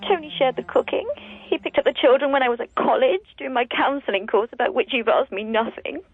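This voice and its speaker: An actress playing a blunt, resentful estranged wife in a radio comedy drama, speaking reproachfully. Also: female voice, sits high, a little fast, slightly rough. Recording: tinny, phone-like, dry.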